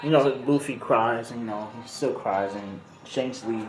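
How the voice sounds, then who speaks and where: A man's voice: mid-range voice, a little slow. A man telling a story casually in slangy, rambling speech, improvising as he goes and drifting into tangents. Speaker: video host. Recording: roomy echo, music behind voice. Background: music beat.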